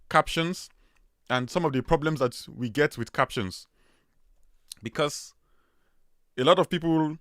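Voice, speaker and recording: A man with a strong Nigerian accent hosts a casual livestream in a chatty, easygoing way, full of fillers and restarts. His voice sits at a middling pitch. The sound is dry and close, with no room echo. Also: a bit bright. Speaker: livestream host.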